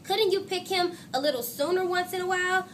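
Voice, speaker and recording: Woman voicing an earnest, high-pitched storybook schoolboy. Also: a little fast, slight background noise. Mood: persuasive. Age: child. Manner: gently persuasive, earnest. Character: earnest, fair-minded schoolboy who challenges his friend. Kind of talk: storybook character read aloud.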